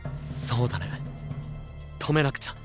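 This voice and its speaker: An actor playing a soft-spoken, perceptive young knight with a gentle, understated manner in a Japanese anime voice drama. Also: male voice, medium pitch, animated, measured pace, over music, lightly acted. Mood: quietly grave.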